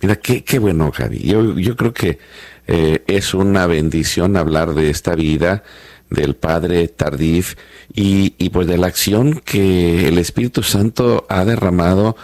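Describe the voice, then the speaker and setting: Low-voiced Mexican man in his fifties. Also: unhurried, a little mellow, no room sound. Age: 50s. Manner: hesitant, reflective, searching for words. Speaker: host. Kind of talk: conversational testimony in a panel interview.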